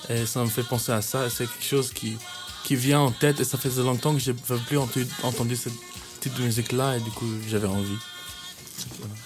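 A young man in his twenties, raised in Kenya, answers a youth radio interview at a middle pitch. He pauses often and restarts his sentences, and a faint bed of music plays under his voice. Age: 20s. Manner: hesitant, many fillers and restarts. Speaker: youth worker, interview guest.

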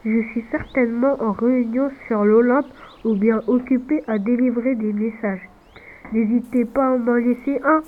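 A woman's voice: fairly high pitch, fairly even intonation, moderate pace. A female performer voicing a busy god's polite, businesslike answering-machine greeting through a phone filter. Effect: telephone answering machine filter. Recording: tinny noisy phone line.

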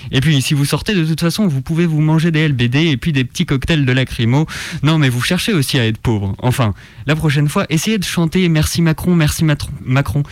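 A man with a lightly raised pitch delivers a satirical radio monologue. His phrasing is colloquial and ironic, playing at naivety. The sound is dry, with hardly any room to it. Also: a little fast, no room sound. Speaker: radio show host.

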